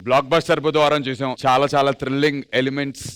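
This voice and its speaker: A man's voice: mid-range voice, flat delivery, very fast, fairly bright tone, a little hiss or hum. A man hosting a TV dance show, announcing with energy and welcoming the judges. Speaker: show host.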